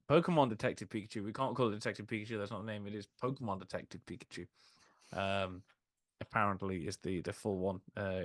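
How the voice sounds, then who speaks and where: A man hosting a casual film discussion, recapping in a rambling way with animated asides. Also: on the low side, no echo, studio quality. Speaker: podcast host.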